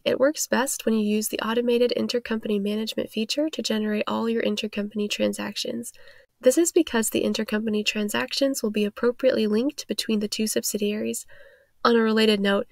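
A woman's voice: fairly high pitch, vocal fry, no echo, clean studio sound. A woman reading scripted explainer narration as a tutorial narrator, in an instructional manner.

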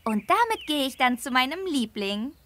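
A woman's voice: high voice, sing-song, moderate pace, background noise. A woman voicing an eager, polite young girl on a riding holiday in a video game. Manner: enthusiastic, polite. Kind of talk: scripted video game dialogue.

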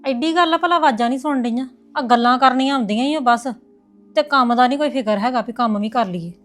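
A woman's voice: fairly high pitch, normal speed, faint music behind, natural voice. A woman playing a sarcastic, resentful sister-in-law. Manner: complaining, sarcastic. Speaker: sister-in-law in a family drama. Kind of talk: domestic drama acting.

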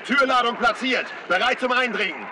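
High-pitched, combative soldier. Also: male voice, animated, moderate pace, noisy background. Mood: alarmed, urgent. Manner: short barked reports. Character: urgent, combative special-forces soldier in action. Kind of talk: video game battle chatter.

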